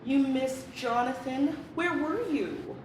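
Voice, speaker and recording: A woman's voice: high-pitched, slow, recorded from a distance. A woman playing a brash, gossipy office coworker in a comic radio-style Christmas party sketch. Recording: echoey, noisy room, off mic.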